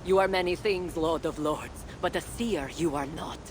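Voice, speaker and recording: Woman playing a low-voiced stern goddess queen. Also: background noise, close to an everyday voice. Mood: dry, stern. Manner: measured, dry, commanding. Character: stern, wry goddess queen and long-suffering wife. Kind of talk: dramatic video game acting.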